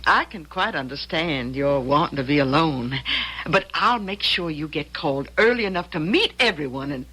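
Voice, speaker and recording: An actress playing a chatty, folksy middle-aged widow who runs a boarding house, in an old-time radio drama. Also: female voice, low-pitched, lively intonation, normal speed, sharp tone, exaggerated voice, rough recording. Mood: genial, teasing. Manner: folksy, gushing, chuckling old-fashioned talk.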